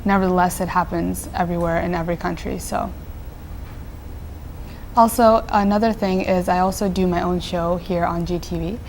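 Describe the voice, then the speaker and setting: A woman's voice: fairly low pitch, steady tone, moderate pace, a little mellow, a little hiss or hum. A teenage girl from Nepal, a nonprofit founder answering interview questions earnestly, with frequent fillers and restarts. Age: teens.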